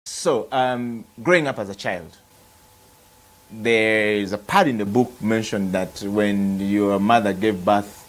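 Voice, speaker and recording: A man's voice: lowish voice, slow. A man hosting a television book interview, making formal introductions and asking questions with frequent uhs. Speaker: TV show host.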